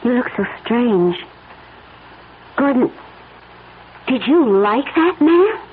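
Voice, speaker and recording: An actress playing a trusting, puzzled, affectionate wife in an American radio drama, with a tentative, questioning manner. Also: female voice, fairly high pitch, very animated, slow pace, slightly rough, exaggerated voice. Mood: puzzled, concerned. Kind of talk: old-time American radio drama acting. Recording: dull radio drama recording, faint noise.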